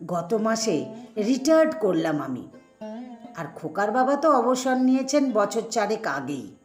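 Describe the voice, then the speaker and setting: A woman voicing a retired Bengali mother-in-law, quietly disapproving and self-restrained, in an expressive, conversational story reading. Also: a little high. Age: older. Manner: conversational, expressive, rhetorical questions. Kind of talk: first-person dramatic story reading. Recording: echoey voice over sitar music.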